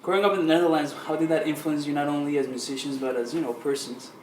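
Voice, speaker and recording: A man's voice: fairly high pitch, steady tone, quick pace, darkish tone. A man interviewing a band, asking rambling questions and repeating himself. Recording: echoey room, faint noise.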